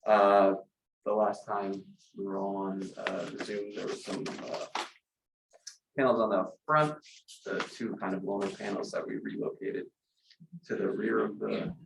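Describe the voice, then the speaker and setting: A man's voice: lowish voice, lively intonation, a little slow, vocal fry. A man presenting a solar panel plan at a public meeting, hesitant and full of fillers. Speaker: solar project applicant presenting a design. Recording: echoey room with background noise.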